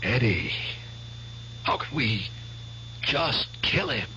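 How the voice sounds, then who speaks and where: An actor playing a cautious, reasonable male war veteran in a 1970s radio drama, pleading in a quiet voice. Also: medium pitch, big pitch swings, slow, full character voice. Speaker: former law student, war veteran. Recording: dull old radio broadcast with hiss.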